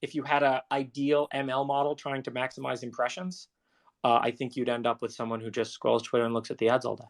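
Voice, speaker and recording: A man's voice: medium pitch, level tone, fast talker. A man talking casually and technically, like an engineer in a live discussion.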